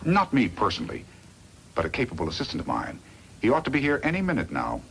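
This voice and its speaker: A greedy, smug villain of a fifties radio western. His lowish voice swings widely in pitch, over faint vintage recording noise. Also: huge pitch swings, fast, gravelly, a bit bright, exaggerated voice. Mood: smug menace. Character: scheming, greedy, smug male mine-manager villain. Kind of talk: 1950s western radio acting. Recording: noisy old 1950s radio recording.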